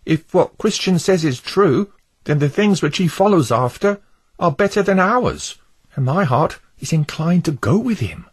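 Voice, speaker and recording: A man voicing a mild, easily persuaded male neighbour who speaks gently and eagerly, in a husky voice. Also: fairly high pitch, big pitch swings, a little slow, pitched above the performer's own voice, exaggerated voice.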